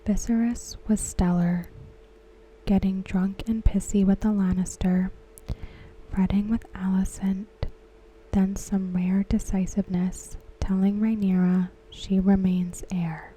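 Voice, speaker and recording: A woman whispering softly and slowly as she recaps and discusses a TV episode for an ASMR video. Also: mid-range voice, flat delivery, poor audio. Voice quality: breathy. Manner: slow, soft whispering. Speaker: ASMR creator. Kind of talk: soft-spoken ASMR recap.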